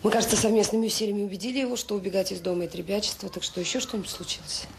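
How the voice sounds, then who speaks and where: An actress playing a kind but firm middle-aged director of a children's home in a radio drama, speaking briskly and composedly. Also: female voice, mid-range voice, steady tone, creaky, background noise, natural voice. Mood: composed, questioning. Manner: brisk, composed, reasoning with rhetorical questions. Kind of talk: Soviet radio drama acting.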